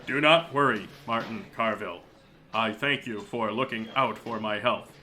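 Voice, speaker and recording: Guy doing a formal polite alien starfish, weary, crisp bright tone, super echoey, audio drama. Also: lowish voice, normal speed, a little hiss or hum. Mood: gentle, earnest. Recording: heavy echo and long reverb.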